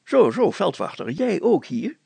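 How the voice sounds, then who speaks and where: A pompous village mayor from a storybook, voiced by a man. He is curt and suspicious, speaking in a very creaky voice, close and dry. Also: sits high, sing-song, unhurried, warm tone, dry recording, pitched above the performer's own voice, full character voice. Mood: haughty, suspicious. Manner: pompous, clipped.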